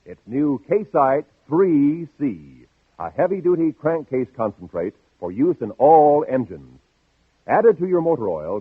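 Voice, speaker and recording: A narrow-band, dry vintage radio recording. An American man delivers an emphatic sales read with a husky voice and very animated pitch. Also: no echo. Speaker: radio commercial announcer.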